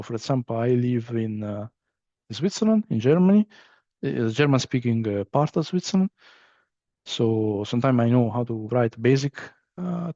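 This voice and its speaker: A man's voice: medium pitch, dark tone, dry recording, muffled recording. A man with an Italian accent, a non-native English speaker, giving a training session with many fillers and restarts. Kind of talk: online training presentation.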